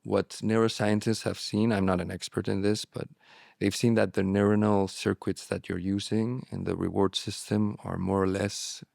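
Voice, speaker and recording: A man whose first language is Spanish, speaking candidly as an interview guest, reflective and halting with frequent restarts. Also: on the low side, little pitch movement, vocal fry, a little mellow, no room sound, clean studio sound. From born in Morocco, raised abroad. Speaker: guest, relapse prevention therapist.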